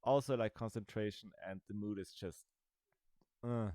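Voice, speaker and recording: A man's voice: lowish voice, steady tone, moderate pace, mellow, no room sound. A man giving casual, rambling commentary over a game he is playing, with dry, sarcastic asides. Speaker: gaming video creator.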